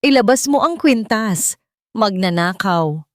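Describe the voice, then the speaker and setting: A woman's voice: mid-range voice, animated, a little slow, no room sound, clean studio sound, pitched above the performer's own voice, natural voice. Woman acting a haughty, cruel rich mother-in-law. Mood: furious. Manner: shouting, accusing, scornful.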